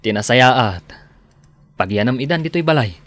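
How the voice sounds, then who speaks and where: A man's voice: medium pitch, lively intonation, moderate pace, a bit bright, natural voice. A man with an Ilocano accent playing a level-headed, wary family man in a radio drama, plain and questioning. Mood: reasoning. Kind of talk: Filipino radio drama acting.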